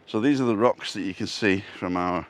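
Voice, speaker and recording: Man, Yorkshire accent, fairly low pitch, casual joking chat, outdoors by the sea. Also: a little hiss or hum. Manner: chatty, joking, reads viewer comments aloud. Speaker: vlog host. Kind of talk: casual live-stream walk chat. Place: outdoors on a seaside walkway.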